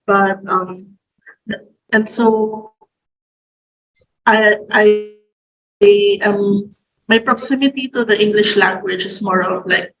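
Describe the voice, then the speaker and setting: Filipino woman, halting, in an echoey room. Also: medium pitch, steady tone. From Philippines. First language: Tagalog. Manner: halting, many fillers and restarts. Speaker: guest writer. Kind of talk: casual conversation. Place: room at home.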